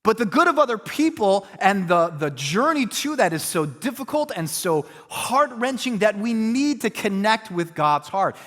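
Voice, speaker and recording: High-voiced pastor preaching in a reverberant hall. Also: male voice, big pitch swings, moderate pace, few pauses, a bit bright. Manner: earnest, reflective, conversational teaching. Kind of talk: sermon.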